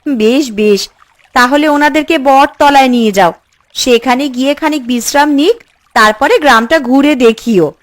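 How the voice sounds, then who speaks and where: Woman playing a high-voiced scolding village mother. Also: moderate pace, natural voice. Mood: concerned. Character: scolding, hard-working poor village mother. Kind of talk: Bengali cartoon voice acting.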